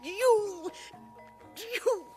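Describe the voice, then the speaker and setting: An actress in a 1980 radio drama playing a quarrelsome, wheedling young widow with an insistent, theatrical manner. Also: female voice, very high voice, very slow, mellow, faint music behind, full character voice. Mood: indignant.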